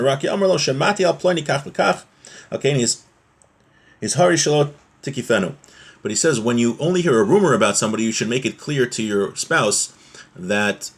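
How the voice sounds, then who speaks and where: A man's voice: mid-range voice, breathy edge. A man teaching a religious text, reading passages aloud and explaining them in an informal, plain-spoken way.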